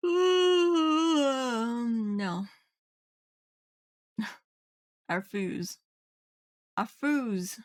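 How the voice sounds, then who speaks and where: A woman's voice: fairly high pitch, very slow, long pauses, smooth, dry recording, clean studio sound. A woman game streamer chatting casually on a livestream, musing aloud in loose, half-finished thoughts. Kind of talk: casual livestream chat while gaming. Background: game music.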